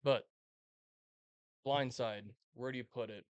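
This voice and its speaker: A man with a smooth, clear voice talks casually as a podcast co-host. He is agreeable and informal, recorded dry with little room sound. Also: mid-range voice, steady tone, takes it slowly, dry recording. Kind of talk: casual chat ranking movies.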